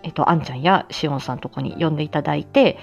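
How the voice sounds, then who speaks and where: A woman's voice: low-pitched, rapid-fire, creaky, highs cut off, soft background music. A woman chatting casually to listeners as a livestream host, effusive and humble, often breaking into small laughs. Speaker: livestream host and amateur voice actor.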